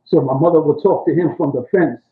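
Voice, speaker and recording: A man's voice: on the high side, fast talker, narrow, tinny audio. A man in his sixties from Puerto Rico, telling his family history in a loose, rambling way, full of street slang and "you know what I'm saying.". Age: 60s. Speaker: interviewee, rapper. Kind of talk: casual oral history interview.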